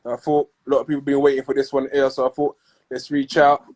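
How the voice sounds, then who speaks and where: A man's voice: medium pitch, little pitch movement, darkish tone. A man, originally from Congo, hosting a casual interview over a video call, often repeating words as he searches for what to say. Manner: halting, repeats words while searching for phrasing. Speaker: podcast host.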